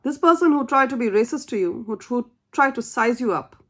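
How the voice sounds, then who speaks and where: A woman with a somewhat high voice gives a tarot reading in English, which is not her first language. She speaks earnestly and encouragingly, repeating her key phrases for emphasis. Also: lively intonation, brisk. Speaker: tarot reader.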